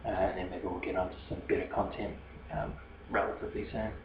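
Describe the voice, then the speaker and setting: Low, flat-voiced New Zealand man. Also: fast, roomy sound, phone-quality sound, background noise. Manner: hesitant, many fillers and restarts. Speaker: podcast host. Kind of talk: rambling solo podcast monologue.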